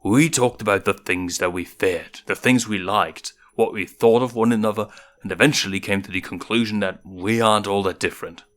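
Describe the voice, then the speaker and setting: A man's voice: lowish voice, moderate pace, fairly bright tone, natural voice. A man voicing a cocky, teasing young male lion cub with a casual big-brother manner. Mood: frank, fond. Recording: music behind the voice.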